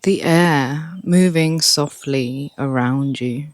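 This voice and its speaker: Super slow calm meditation voice, soft, dry close mic, quiet birds in the back. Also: mid-range voice, no room sound. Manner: calm, soft, with long pauses. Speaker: meditation guide.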